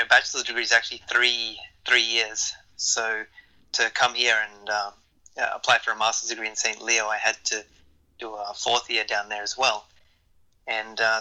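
A man's voice: mid-range voice, low-quality recording. A man, a graduate student guest talking loosely about his background, with many fillers. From Australia. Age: 30s. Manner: relaxed, rambling with fillers. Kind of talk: casual roundtable interview.

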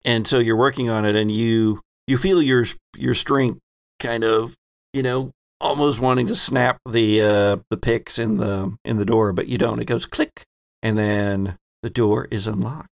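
A man acting as game master, narrating a tabletop role-playing scene in a halting, deliberate way. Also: medium pitch, fairly even intonation, vocal fry.

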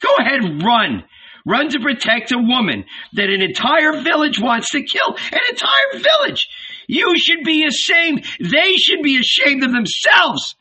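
A fierce old woman scolds in a raised, harsh voice, pitched well up, as a male narrator takes her on. She is a stern storybook mother who does not back down. The tone is bright and husky. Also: expressive, takes it slowly, few pauses, heavily acted, rough recording. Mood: furious.

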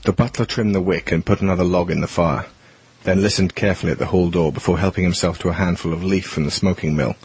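Guy narrating an audio drama, low gravelly husky voice, reading from script. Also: steady tone, quick pace, darkish tone, no room sound.

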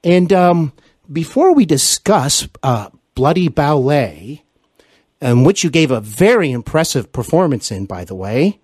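Guy hosting an interview podcast, polite and deliberate, highish husky voice, very up and down, dry sound. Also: sing-song, darkish tone, no room sound. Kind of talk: scripted introductions and polite interviewing.

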